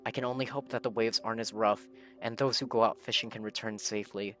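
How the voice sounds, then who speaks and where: A man's voice: lowish voice, steady tone, fast talker, fairly bright tone. A man live-streaming a video game, giving casual reactions and reading game text aloud. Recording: game music behind the voice.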